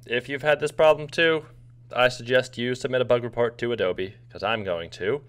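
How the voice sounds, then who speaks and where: A man's voice: medium pitch, normal speed, fairly bright tone, clear, resonant tone. A man casually explaining what is on screen as a video editor narrating a screen recording.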